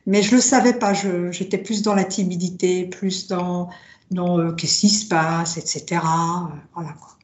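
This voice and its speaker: A woman born in France to Italian parents, with Italian as her first language, telling her story slowly with many pauses and fillers. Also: fairly low pitch, fairly even intonation, a little mellow. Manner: hesitant, many fillers, reflective. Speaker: drum maker, guest. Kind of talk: casual interview, personal story. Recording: roomy, dull lo-fi sound.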